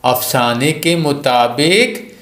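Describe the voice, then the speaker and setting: A man narrating a scripted children's story in a measured, deliberate storytelling manner. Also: mid-range voice, fairly bright tone, echoey room.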